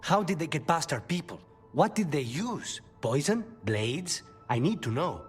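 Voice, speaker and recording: A man's voice: on the high side, big pitch swings, unhurried, husky, soft background music, heavily acted. An actor playing a brooding, guarded male assassin with an intense streak in a video game. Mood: urgent, agitated.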